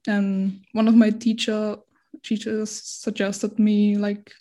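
Young woman, 20s, from Austria, non-native English speaker, slow hesitant delivery, flat even tone. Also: mid-range voice. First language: not English. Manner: hesitant, searching for words, many restarts. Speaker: guest. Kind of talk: casual interview conversation.

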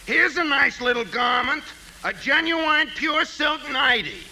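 An actor playing a surly, jittery, sarcastic department store clerk in a 1940s radio comedy. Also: male voice, very high-pitched, a little slow, pushed and bright, heavily acted. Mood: nervous, put-upon. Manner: sarcastic, exasperated. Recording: very noisy vintage radio recording.

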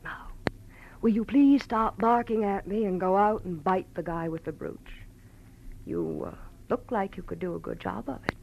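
A woman acting a brassy, sharp-tongued pulp writer in a 1940s radio drama, American accent, sassy, cool and teasing. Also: medium pitch, very animated, moderate pace, gravelly, lo-fi sound. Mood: sly, bargaining. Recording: noisy old radio recording.

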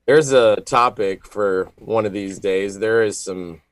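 A man's voice: lowish voice, takes it slowly, no echo. A man co-hosting a radio talk show, quippy and chatty, often trailing off into laughs. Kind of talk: casual radio banter.

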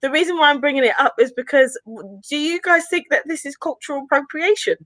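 A woman's voice: high-pitched, animated, fast, pushed and bright, dry recording. A woman in a casual panel chat, telling a gossipy story to the others.

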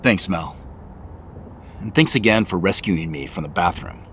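An actor playing a wry, self-deprecating tech boss in an audio drama, conversational and playful yet guarded. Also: male voice, sits low, expressive, measured pace, fairly bright tone, slight background noise. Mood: playful, amused. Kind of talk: naturalistic audio drama acting.

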